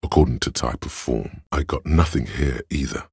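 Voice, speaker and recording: An actor playing an inner voice of cold deductive logic in a video game, measured, deliberate and dryly condescending, with a gravelly, husky voice. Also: male voice, rumbling low, a little mellow, no echo, studio quality, full character voice. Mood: dry, resigned. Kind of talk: video game voice-over, scripted narration. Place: dry studio recording.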